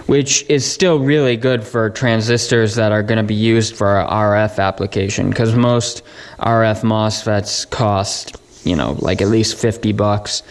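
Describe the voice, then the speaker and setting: Slow-paced young man explaining casually. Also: lowish voice, clean tone. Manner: informal, matter-of-fact. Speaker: electronics hobbyist presenter. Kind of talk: casual hands-on electronics build explanation.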